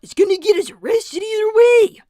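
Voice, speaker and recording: A young cartoon fox boy played by a woman speaks in a tiny, very high voice. He is brainy but sensitive, sounding earnest, hesitant and worn down as he protests. The sound is dry and close. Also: normal speed, warm tone, dry recording, faint music behind. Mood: exasperated, protesting. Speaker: character performer on a livestream. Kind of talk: improvised in-character conversation.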